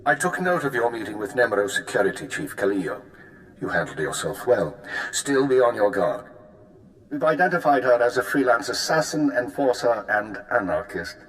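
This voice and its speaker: An actor playing a composed intelligence handler giving a mission briefing in a video game, formal and clipped. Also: male voice, medium pitch, sing-song, moderate pace, a bit bright, rough recording. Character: cool, precise male intelligence handler.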